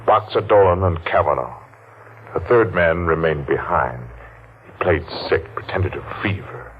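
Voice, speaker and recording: An actor playing a menacing masked man seeking revenge, taunting and deliberate, in 1940s radio acting style. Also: a bass voice, slight background noise. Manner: taunting, slow and deliberate, laughs mockingly. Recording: muffled old radio recording.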